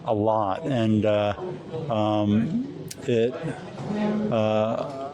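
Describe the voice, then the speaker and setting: An American man in a casual interview as a pilot, recounting things in a halting way with frequent pauses mid-phrase. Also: fairly low pitch. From Alaska, USA. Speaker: competition glider pilot being interviewed. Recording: crowd chatter in the background.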